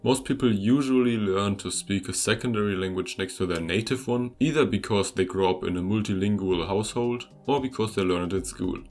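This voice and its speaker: A young man whose first language is German reads a scripted lesson in a fairly low voice, chatty and upbeat, talking straight to his viewers. A quiet guitar track plays softly behind him throughout. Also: fairly quick, hardly any pauses. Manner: upbeat, conversational, addresses viewers directly. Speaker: language-learning video host. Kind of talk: scripted voice-over narration. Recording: voice-over with faint music behind.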